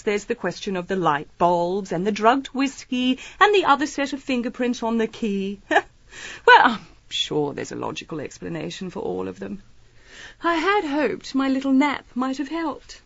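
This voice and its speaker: A woman's voice: on the high side, a bit bright, no room sound, dull audio, exaggerated voice. An actress playing a shrewd, playful lady detective in a radio mystery, politely probing with mock innocence. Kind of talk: radio mystery drama acting.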